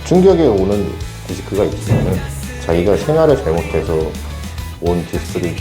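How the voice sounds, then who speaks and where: A man's voice: low-pitched, sing-song, brisk, music in the background. A man, a former patient, earnestly sharing his experience of treatment in a loose, reflective way. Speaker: patient guest. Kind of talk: casual testimonial.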